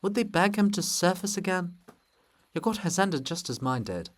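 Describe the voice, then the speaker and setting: A man playing a proud, quick-tempered ancient pagan woman in a dramatic play, exclaiming and grumbling with indignation. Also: high-pitched, very animated, pitched above the performer's own voice. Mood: indignant.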